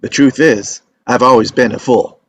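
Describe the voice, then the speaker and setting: A man's voice: mid-range voice, normal speed, dry recording, natural voice. A man playing an earnest, lovestruck and stubborn young romantic in a screenplay table read, speaking sincerely and wistfully. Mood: wistful, resolute.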